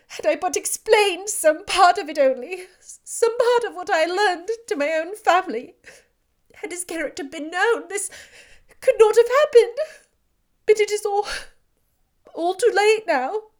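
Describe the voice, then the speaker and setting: A woman's voice: very high voice, rapid-fire, pauses often, a bit bright, voice pushed up. Woman voicing a distraught, tearful young woman. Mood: agitated, despairing. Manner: agitated, breathless, pleading. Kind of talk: audiobook character reading.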